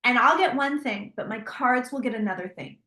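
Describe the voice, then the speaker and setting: A woman who reads tarot, telling stories in an animated, conversational way with frequent restarts. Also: on the high side, fairly quick, echoey room. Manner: animated storytelling with many restarts. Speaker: psychic tarot reader. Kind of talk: interview conversation.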